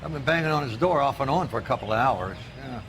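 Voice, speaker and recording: A man's voice: mid-range voice, expressive, fast, lightly acted. An actor playing an irritated, plain-spoken male neighbour in a crime video game. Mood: annoyed.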